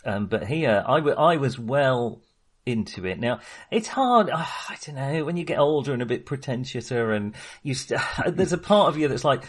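A man's voice: mid-range voice, sing-song, moderate pace, breathy edge. A man talking casually and informally about films as a podcast co-host, with a chatty, mildly sweary manner. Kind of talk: casual film discussion.

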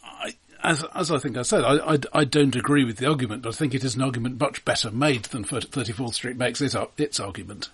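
A man discusses films as a podcast host in a husky, mid-pitched voice. He speaks unscripted, stammering and restarting as he works through his points. His manner is wry and critical. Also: fast talker, a bit bright.

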